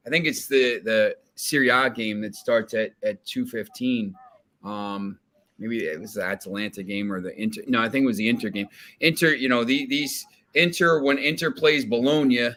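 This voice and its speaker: A man from New Jersey chats loosely on a betting show, his voice bright and forward. He circles back on himself, restarting sentences and stacking fillers as he talks. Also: mid-range voice. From New Jersey, USA. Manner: rambling, many restarts and fillers. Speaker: sports betting analyst guest. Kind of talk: casual podcast chat.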